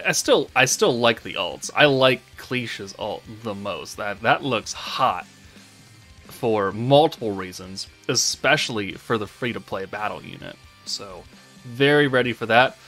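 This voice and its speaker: A man's voice: medium pitch, lively intonation, unhurried, bright, forceful tone, soft background music. A man giving casual, informal game commentary as a channel host, rambling and thinking aloud as he goes.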